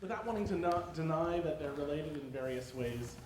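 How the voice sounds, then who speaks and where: A man's voice: fairly high pitch, expressive. A man from the audience asking a formal, carefully qualified question at a lecture. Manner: measured, carefully qualified phrasing. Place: lecture hall. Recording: echoey hall, faint background noise.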